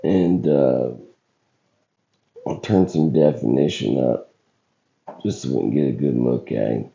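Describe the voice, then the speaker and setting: A man hosts casually in a deep, very mellow and dark voice, rough and hoarse from illness. He talks slowly and haltingly, in plain folksy words. The recording is slightly dull and lo-fi. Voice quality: rough, scratchy voice. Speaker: video channel host. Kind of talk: casual footage commentary.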